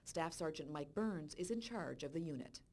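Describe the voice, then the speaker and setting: A woman reading a scripted voice-over narration for a police recruiting video in a clear, measured way. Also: sits low, lively intonation, darkish tone, a little hiss or hum. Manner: clear, measured informational delivery.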